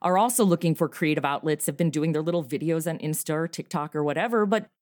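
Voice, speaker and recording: Chatty, lively woman on a remote call. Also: sits low, fast talker, no echo, studio quality. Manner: chatty and animated, with laughter and fillers. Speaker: podcast creator and guest. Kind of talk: casual interview chat.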